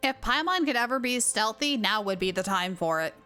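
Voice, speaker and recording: A woman live streamer giving casual, playful game commentary in a chatty, jokey, excitable way. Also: fairly high pitch, fairly quick, smooth. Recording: game music behind.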